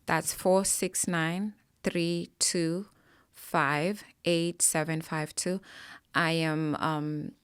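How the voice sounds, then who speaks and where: A woman's voice: deep voice, steady tone, extremely slow, clear, resonant tone, no echo, studio quality. A woman, a financial advisor, answering interview questions in an earnest, explanatory way with frequent fillers. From Kenya.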